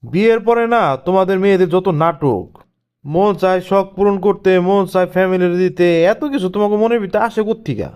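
A man's voice: sits high, moderate pace, a little mellow, smooth, natural voice. A man voicing a stingy, domineering husband in a cartoon family drama, speaking with sarcastic, dismissive scorn. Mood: scornful, domineering.

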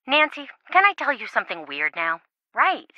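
A woman voicing a quirky, sarcastic, excitable young reality-show contestant in a video game, with playful theatrical quips. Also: fairly high pitch, normal speed, no room sound, dull audio. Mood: wry, chatty.

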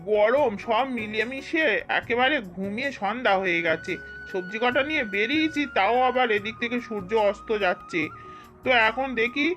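A woman's voice: fairly high pitch, a bit bright, natural voice. A woman acts out a hardworking, weary village farmer and father in a cartoon. He sounds rustic and plain-spoken. Music runs faintly underneath. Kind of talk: amateur cartoon dubbing.

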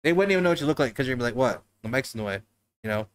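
A man hosting a casual livestream from his living room couch, talking into a desk mic on a stand. He jokes loosely, laughs often and swears freely. His voice sits at an ordinary middle pitch for a man. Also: animated, racing, smooth. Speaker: talk show host.

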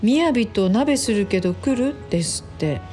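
A woman playing a chatty, teasing female office worker in a radio drama, expressive and gossipy. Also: a little high, normal speed, soft background music, natural voice.

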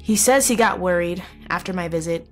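Woman playing a shaken, halting teenage girl, music behind. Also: lowish voice, close to an everyday voice. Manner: halting, confessional, conversational delivery. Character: terrified teenage girl recounting a horror she fled. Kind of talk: first-person horror audio drama narration.

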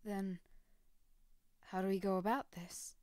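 A woman voicing a quiet, curious teenage girl in an audiobook. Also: mid-range voice, steady tone, measured pace, clear, resonant tone, no echo, lightly acted.

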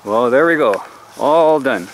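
A man's voice: medium pitch, lively intonation, measured pace, slight background noise, poor audio. A middle-aged man chatting casually in his backyard while doing chores, rambling in an easygoing way. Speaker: vlogger. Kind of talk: casual chat. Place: backyard outdoors.

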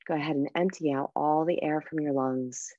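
A woman guiding a meditation and breathing exercise in a soft, unhurried, soothing way with pauses. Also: deep voice, fairly even intonation, no echo, lo-fi sound. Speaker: meditation guide and coach. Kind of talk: guided meditation with breathing instruction.

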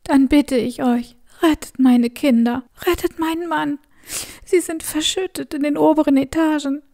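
A woman acts out a terrified middle-aged mother in a fantasy radio play. Her voice is high and soft-edged rather than bright, breathy with fear as she pleads for her family. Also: steady tone, normal speed. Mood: pleading. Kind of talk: fantasy audio drama acting.

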